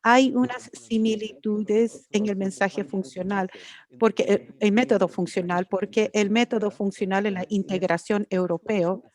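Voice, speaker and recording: A woman interpreting an academic lecture live, halting and correcting herself as she goes. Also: mid-range voice, steady tone, a little mellow. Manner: halting, with frequent restarts and self-corrections. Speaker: conference interpreter.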